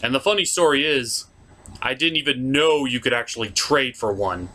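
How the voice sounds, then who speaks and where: A man's voice: mid-range voice, moderate pace, sharp tone, slight background noise. A man hosting a top ten list, giving casual, opinionated commentary with wry, informal asides.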